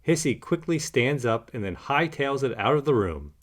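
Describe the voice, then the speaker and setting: A man reads a children's story aloud. His medium-pitched voice swings widely and expressively, in the manner of animated picture-book narration. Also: moderate pace.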